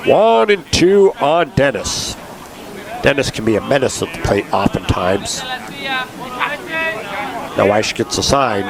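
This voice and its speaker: Male baseball announcer, congested, lively pitch, chatty, loud ballpark crowd behind. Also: takes it slowly, vocal fry, long pauses. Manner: chatty, digressive with sports history asides. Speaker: play-by-play announcer. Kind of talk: baseball play-by-play commentary.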